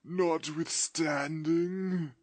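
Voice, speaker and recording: A man voicing a doomed, mournful male ghost in chains, drawing out his words in a slow lament. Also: a little high, steady tone, darkish tone, no room sound, pitched above the performer's own voice. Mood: insistent.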